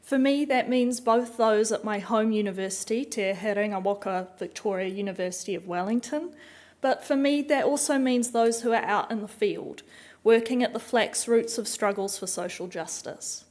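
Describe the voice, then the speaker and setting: A woman's voice: mid-range voice, moderate pace, darkish tone. A young woman with a New Zealand accent gives an earnest, composed prepared speech as a doctoral student.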